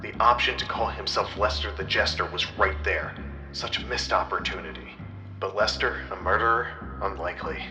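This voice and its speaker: A man playing a deadpan, self-assured but clueless private detective in a comic audio drama, narrating in hard-boiled parody style. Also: sits low, normal speed, far from the mic, natural voice. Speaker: narrator and lead detective character. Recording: echoey room. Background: music.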